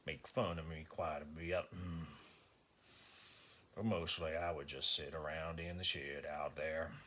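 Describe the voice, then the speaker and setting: A man performing a dramatic monologue as a slow-witted, stoic rural man with a Southern US accent, halting and deadpan, grunting hums between phrases. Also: low voice. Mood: stoic reminiscing. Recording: faint background noise.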